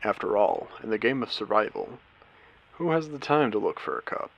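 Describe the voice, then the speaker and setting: Man acting as male casino AI, post-apocalyptic audio drama, lowish gravelly voice, courteous, eerie, theatrical pauses. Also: big pitch swings, highs cut off, exaggerated voice. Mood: suave, welcoming. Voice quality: low, creaky voice. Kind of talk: scripted character audio drama monologue.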